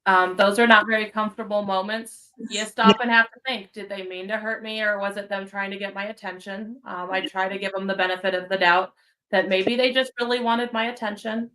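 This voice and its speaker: A woman, a paranormal investigator, recounting an eerie experience in a relaxed way with many ums. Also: mid-range voice, fairly quick, rough recording. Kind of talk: storytelling in casual chat.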